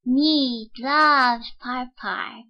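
Storybook toddler boy, woman performer: artful, high, extremely slow baby talk, slightly muffled recording. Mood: eager, wilful. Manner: baby talk, drawn-out words. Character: Demi, wilful, artful little toddler boy.

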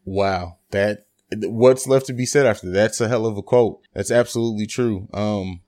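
A man's voice: fairly low pitch, moderate pace, darkish tone. A man hosting a podcast interview, casual and affirming, who often repeats "absolutely" and rambles through his thoughts. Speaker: podcast host.

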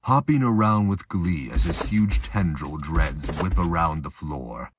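A man with a husky voice reading scripted narration for an audio drama. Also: sits low, expressive, unhurried, slight background noise.

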